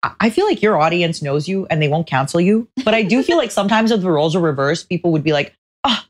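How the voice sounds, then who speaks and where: A woman's voice: on the low side, lively intonation, fast. A woman co-hosting a casual podcast from a hotel room, bubbly and giggly, with a slightly stuffy nose. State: a little stuffy-nosed. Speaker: podcast co-host. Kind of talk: casual chat. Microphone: different travel microphone.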